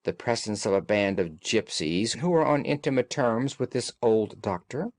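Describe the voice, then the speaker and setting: A man voicing a shrewd gentleman detective in an audiobook, calm, analytical and authoritative, with formal, deliberate phrasing. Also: on the low side, no room sound, natural voice.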